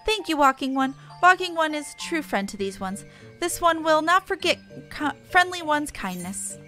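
A woman's voice: high voice, big pitch swings, moderate pace, bright, forceful tone, soft background music, voice pushed up, exaggerated voice. A woman voicing a small, earnest, worried forest sylph elder from a fantasy game, speaking in a quaint third-person manner. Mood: worried, pleading.

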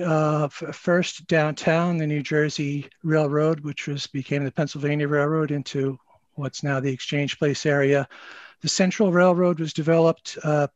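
An older man, a librarian, explaining history informally and haltingly on a video call. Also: on the high side, no echo. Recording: dull, lo-fi video call audio.

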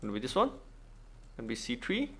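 A man giving casual game walkthrough commentary, thinking aloud in halting, non-native English. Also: mid-range voice, huge pitch swings, fairly bright tone, husky. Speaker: gaming video host.

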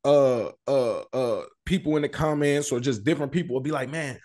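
A man's voice: mid-range voice, fairly quick, darkish tone. A man, sounding middle-aged, in casual, slangy street news commentary with profanity, often checking that the listener follows. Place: indoor room, video call.

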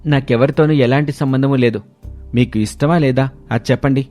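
A man's voice: medium pitch, steady tone, brisk, mellow, natural voice. A male storyteller voicing a stubborn, impatient young groom in a blunt, insistent way. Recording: background music under voice.

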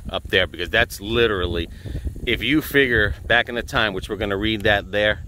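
A man's voice: fairly low pitch, normal speed, sharp tone, a little hiss or hum, rough recording. A man chats casually while filming a walking tour outdoors on a residential street, pointing things out as he goes. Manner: chatty, points things out as he films. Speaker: vlog co-host. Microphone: camera he holds while filming.

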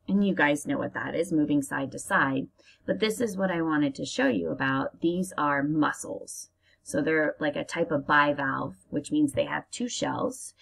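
An American woman teacher reading aloud to young children and explaining as she goes, in a deliberate, expressive manner. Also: sits low, gravelly. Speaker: elementary school teacher reading aloud to pupils.

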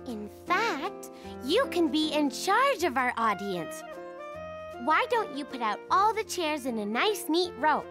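High-pitched bossy little girl bunny. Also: very animated, measured pace. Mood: patiently bossy. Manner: articulate, patient, instructing. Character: bossy, cheerful young girl bunny, big sister. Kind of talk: children's cartoon acting. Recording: music behind the voice.

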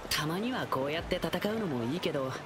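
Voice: mid-range voice, expressive, fast talker, lightly acted. An actor voicing an earnest, friendly, boyish lion-eared young dancer in a Japanese anime game. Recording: game background music under voice.

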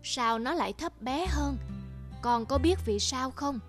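A woman voicing a wise, patient old male monk master in a cartoon, with a measured, instructive manner. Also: sits high. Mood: gently teaching. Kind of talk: cartoon voice acting. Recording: music under the voice.

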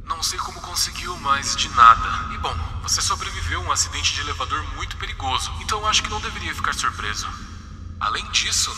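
A man's voice: mid-range voice, sharp tone. Horror game monster mascot male actor: apologetic yet dangerous, Brazilian Portuguese, piercing edge, echoey, far from mic with music. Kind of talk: fan-dubbed horror game dialogue. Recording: echoey hall, distant, music behind.

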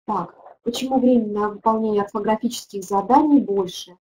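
A woman's voice: medium pitch, brisk, darkish tone, rough recording. A middle-aged woman, a teaching methodologist, leading a webinar and answering viewer questions, thinking aloud with frequent hesitations.

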